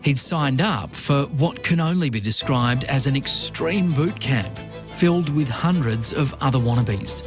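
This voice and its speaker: A man narrating a news feature in a measured, scripted way. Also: mid-range voice, animated, music in the background. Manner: measured, formal delivery.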